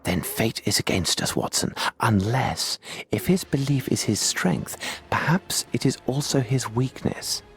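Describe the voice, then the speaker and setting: An actor playing a shrewd, authoritative Victorian gentleman detective in a video game. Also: mid-range voice, lively intonation, a little slow. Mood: grave, calculating.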